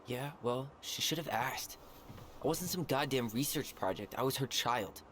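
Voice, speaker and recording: A man's voice: medium pitch, moderate pace, a bit bright, a little hiss or hum, close to an everyday voice. A man playing a wounded, stubborn young man in naturalistic video game dialogue, earnest and blunt. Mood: bitter. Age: young adult.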